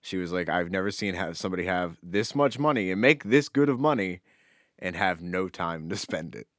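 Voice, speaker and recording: Deep-voiced man telling casual anecdotes. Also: lively intonation, normal speed, no room sound, clean studio sound. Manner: informal, conversational. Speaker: podcast co-host. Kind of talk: casual chat, anecdotal storytelling.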